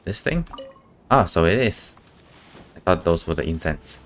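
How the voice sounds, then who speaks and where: A man's voice: low-pitched, big pitch swings, a little slow, slight background noise. A man giving casual live commentary as he streams a game, muttering dry asides to it. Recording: game music behind the voice. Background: game music.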